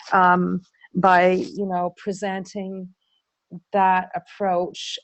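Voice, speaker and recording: A woman's voice: on the low side, a little mellow, no room sound. A woman presenter answering audience questions in a webinar, speaking with many ums, uhs and restarts.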